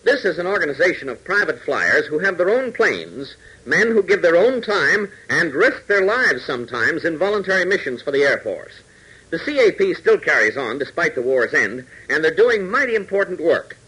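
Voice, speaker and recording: An American man announcing and narrating a 1940s radio serial in an emphatic, dramatic, husky voice. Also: fairly high pitch, big pitch swings, normal speed, pushed and bright, low-quality recording.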